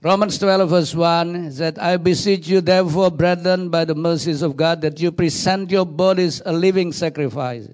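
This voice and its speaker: A middle-aged man with an Indonesian accent addresses a congregation in careful, halting English. His voice sits a little high for a man. He restarts phrases and leans on fillers as he searches for words. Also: a little mellow. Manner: hesitant, repeats words, frequent "uh" fillers. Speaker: guest pastor. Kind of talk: sermon introduction.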